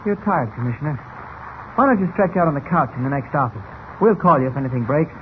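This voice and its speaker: Guy acting a take-charge reporter, calm but brisk, voice swings around, old radio drama with some hiss. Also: fairly high pitch, lightly acted. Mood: calm, reassuring. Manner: brisk, authoritative, reassuring. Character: calm, decisive newspaper reporter, grown man. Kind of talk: 1940s radio serial acting. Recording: tinny, band-limited old radio with hiss.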